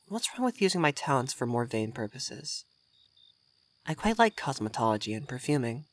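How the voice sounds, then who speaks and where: A man's voice: medium pitch, fairly bright tone, dry recording. A man playing a young effeminate vampire mage in roleplay ASMR, vain and refined, coy and easily flustered in an intimate delivery.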